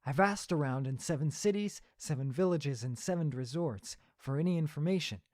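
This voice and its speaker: A man's voice: fairly high pitch, animated, normal speed, a little mellow, lightly acted. An actor playing an earnest, determined young man in a fantasy audio drama, speaking in a formal, dramatic manner. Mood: guarded. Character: earnest, determined young man searching for his stolen love.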